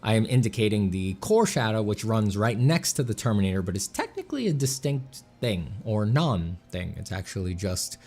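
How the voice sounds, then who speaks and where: Deadpan low-voiced man chatting on stream. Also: moderate pace, few pauses. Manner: dry deadpan joking, relaxed and rambling. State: mouth sore, slightly slurring. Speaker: artist streaming a drawing session. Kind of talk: casual livestream chat.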